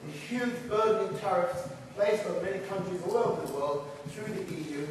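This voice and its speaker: High-pitched guy doing a lively hustings speech, far from the mic in a boomy hall. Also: moderate pace, a little hiss or hum. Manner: animated, laughing asides. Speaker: party leadership candidate. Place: public meeting hall.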